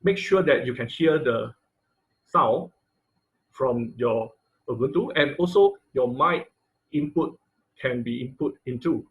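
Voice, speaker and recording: Halting male online class instructor. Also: mid-range voice. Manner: halting, hesitant phrasing with repeated words. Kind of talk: informal online lecture, instructing students. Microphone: computer mic on a video call.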